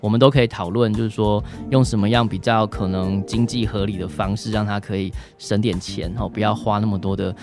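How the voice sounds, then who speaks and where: A man with a Taiwanese Mandarin accent answering questions as a doctor on radio, in halting phrases capped with particles. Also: fairly low pitch, steady tone, music in the background. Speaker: doctor, expert guest.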